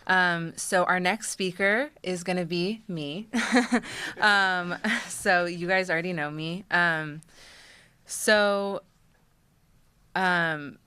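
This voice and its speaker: A woman's voice: fairly low pitch, very slow, pushed and bright, clear, resonant tone, no echo. A young woman who stutters, giving a candid prepared speech and introducing speakers at a press conference. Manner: stutters with frequent sound and word repetitions; candid, light self-deprecating asides. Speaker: podcast host and press conference emcee.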